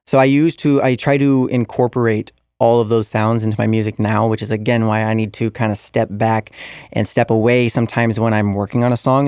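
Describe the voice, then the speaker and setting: A man's voice: mid-range voice, a little mellow, smooth, dry recording, studio quality. A young man, a musician guest in an interview, answering casually with many fillers and restarts.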